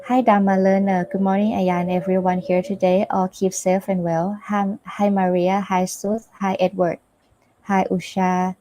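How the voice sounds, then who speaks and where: A Buddhist nun from Thailand with a Thai accent, giving a teaching with live questions and answers. She pauses often and searches for her words. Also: medium pitch, flat delivery, mellow, no echo. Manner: hesitant, searches for words with many fillers.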